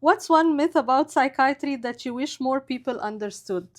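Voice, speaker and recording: High-voiced woman hosting a podcast. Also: moderate pace. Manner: reads a prepared intro, smiling and upbeat. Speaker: podcast host. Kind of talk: scripted introduction and interview questions.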